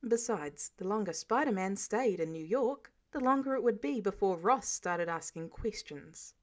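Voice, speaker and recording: A woman reading scripted fiction aloud as an expressive storyteller. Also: mid-range voice, moderate pace. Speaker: audiobook reader of fan fiction.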